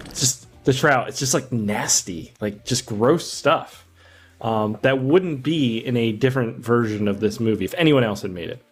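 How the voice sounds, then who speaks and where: A man's voice: mid-range voice, normal speed. A man co-hosting a podcast, casually discussing film in a rambling way, often searching for words. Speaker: podcast co-host.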